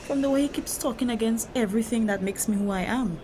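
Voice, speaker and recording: A woman's voice: on the high side, fast talker, close to an everyday voice. A woman acting as a hurt, troubled grown daughter in a drama, speaking earnestly and confidingly about feeling trapped. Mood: distressed. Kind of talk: dramatic acted dialogue. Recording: noisy location sound with steady ambience.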